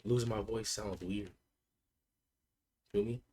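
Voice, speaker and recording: A man livestreaming and chatting with his viewers in a laid-back, slangy way, his voice hoarse and cracking. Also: lowish voice, fairly even intonation, takes it slowly, mellow. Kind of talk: casual chat with stream chat.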